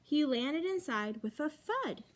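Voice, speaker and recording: A woman reading a children's picture book aloud as a library storyteller, expressively and with emphatic pauses. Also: sits high, sing-song.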